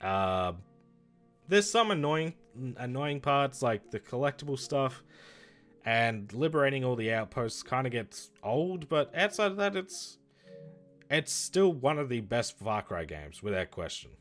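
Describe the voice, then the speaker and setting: A man streaming and chatting casually about games, rambling and correcting himself, with his voice going hoarse. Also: mid-range voice, a little slow, soft background music. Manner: rambling, self-correcting, lively. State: losing his voice. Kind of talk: casual livestream chat reviewing games.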